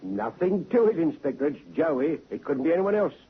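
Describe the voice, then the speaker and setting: An actor playing a keen, insistent police sergeant in a vintage radio drama, heard through a muffled old recording with faint hiss. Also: male voice, fairly high pitch, big pitch swings, moderate pace, slightly rough, heavily acted. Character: eager male police sergeant.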